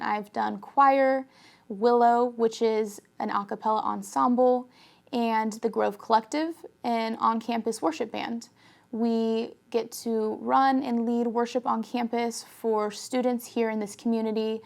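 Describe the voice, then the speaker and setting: A young woman, a college senior from Oregon, speaking earnestly and in a measured way as she introduces herself and gives advice. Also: a little high, creaky, darkish tone. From Oregon, USA. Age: young adult. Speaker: college student. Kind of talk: scripted self-introduction and advice.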